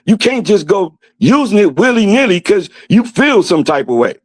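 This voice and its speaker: Man, 50s, guest, earnest, agreeable, high voice, dry close sound. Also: very animated, normal speed, no room sound. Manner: earnest, agreeable, backs the host. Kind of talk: casual chat.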